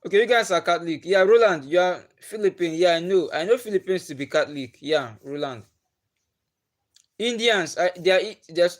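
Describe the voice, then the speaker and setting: A young Nigerian man, a native Igbo speaker, casually chatting with viewers on a livestream and asking them questions. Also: high voice. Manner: chatty, laughs often, asks viewers questions. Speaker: livestream host. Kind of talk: casual chat with live viewers. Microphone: phone or webcam on a livestream.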